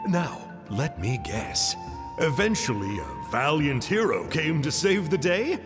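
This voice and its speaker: A man's voice: a little high, sing-song, measured pace, in a large hall, music in the background. A man voicing an eager outsider in a video game, genteel and theatrical, full of exaggerated wonder. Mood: mock shocked.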